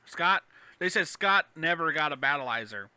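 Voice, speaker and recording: Crisp, very bright male co-host. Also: a little high, expressive, moderate pace, no room sound. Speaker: podcast co-host. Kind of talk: casual fan chat.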